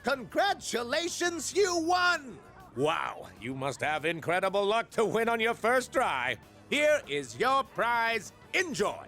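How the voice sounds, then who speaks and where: A man's voice: extremely high pitch, big pitch swings, takes it slowly, sharp tone, soft background music, exaggerated voice. A man playing an eager, chirpy festival lottery barker in a video game, with peppy salesman patter. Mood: excited congratulating.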